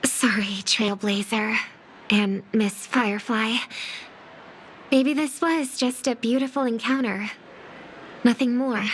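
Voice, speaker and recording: A woman voicing an earnest, watchful and worried young singer in a video game, soft and hesitant in manner. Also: on the high side, slow, pauses often, a little hiss or hum, rough recording. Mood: apologetic, wistful. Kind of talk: scripted game dialogue, inner monologue.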